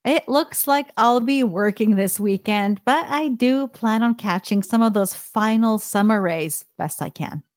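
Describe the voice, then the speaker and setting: A woman co-hosting a weekly news show, reading headlines in a clear, even delivery and chatting with her co-host. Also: fairly high pitch, unhurried, hardly any pauses, no room sound, studio quality. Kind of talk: scripted news reading and casual banter.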